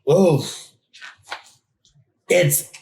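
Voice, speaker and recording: A man's voice: a little high, lively intonation, drawn-out words, creaky, darkish tone, full character voice. A man voicing a whiny, indignant monster with good manners, in a theatrical children's read-aloud style. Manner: theatrical, exaggerated complaining. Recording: echoey room.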